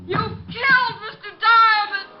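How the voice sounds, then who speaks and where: A woman's voice: extremely high pitch, steady tone, a little slow, loud music behind the voice. A woman playing an upset, fretful grieving sister, plaintive and dramatic, in old-time radio melodrama. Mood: alarmed. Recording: very noisy muffled vintage radio recording.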